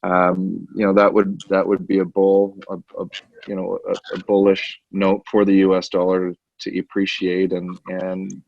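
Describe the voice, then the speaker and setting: Halting low-voiced man on a roomy conference call. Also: monotone, creaky, darkish tone, lo-fi sound. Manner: halting, repeats words as he thinks aloud. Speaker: portfolio manager, currency specialist. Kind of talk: unscripted market commentary on a conference call.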